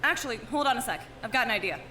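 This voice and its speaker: Woman doing a brash foul-mouthed girl, high and lively, echoey noisy room. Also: racing. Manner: brash, swears a lot, bossy. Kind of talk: fan audio drama acting.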